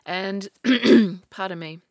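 A woman co-hosting a podcast, telling a true crime story in casual chat with a slightly croaky voice and frequent laughs. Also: mid-range voice, extremely slow, crowd noise. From Australia. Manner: chatty storyteller, laughs often, restarts sentences.

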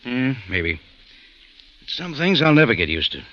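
A man's voice: medium pitch, big pitch swings, unhurried, bright, forceful tone. An actor playing a tough male café owner and adventurer, wry and hard-boiled, with 1940s radio acting. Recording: dull old radio recording with faint noise.

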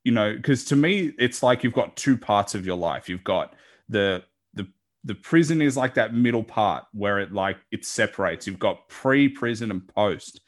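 Low-pitched man hosting a podcast. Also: lively intonation, normal speed. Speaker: podcast host. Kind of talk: casual interview chat.

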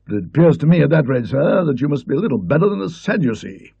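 A man doing a booming, bluff old colonel. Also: big pitch swings, quick pace, gravelly, warm tone, breathy edge, dry recording, full character voice. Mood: hearty. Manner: booming, hearty. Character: bluff hearty older male retired colonel. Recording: dull lo-fi recording.

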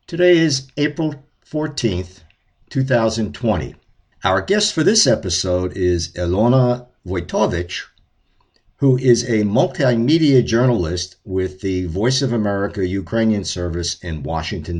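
A man's voice: on the low side, darkish tone, slightly rough. A man hosting a podcast, giving a formal introduction and asking questions. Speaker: podcast host. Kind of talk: podcast interview.

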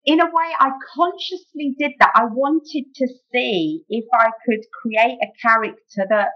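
A woman's voice: a little high, unhurried. A middle-aged British woman chatting as an interview guest, peppering her talk with "you know". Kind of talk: casual conversational interview. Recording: muffled, dull audio.

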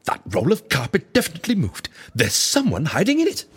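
A man playing an excitable, fussy proprietor of a secret agency in the ancient world, theatrical, flustered and courteous, in a comic audio drama. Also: a little high, brisk, husky, full character voice. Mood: brisk, imperious.

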